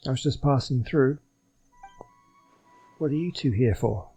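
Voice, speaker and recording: A man's voice: medium pitch, unhurried, mellow, slightly rough. A man voicing a stern, aloof male guardian warrior from a fantasy game, curt and formal, reading the dialogue aloud.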